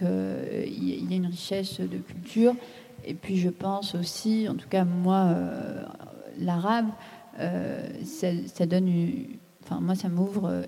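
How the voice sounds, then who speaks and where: A fairly low, gravelly woman speaks on a panel with many pauses and restarts. Her tone is dark and mellow, and a long hall reverb trails behind her words. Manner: hesitant, with frequent fillers and restarts. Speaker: writer on a panel. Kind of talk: panel discussion.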